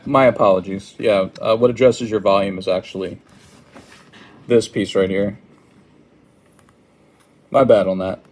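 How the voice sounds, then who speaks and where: A man giving an informal hands-on product tutorial in a hesitant, halting, apologetic manner. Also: lowish voice, a little mellow. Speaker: product demonstrator. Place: indoors at a wooden table.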